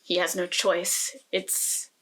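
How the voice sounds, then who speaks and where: Bright-voiced woman in casual discussion. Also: lowish voice, completely flat delivery, slow, low-quality recording. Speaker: podcast co-host. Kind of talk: casual conversational book discussion.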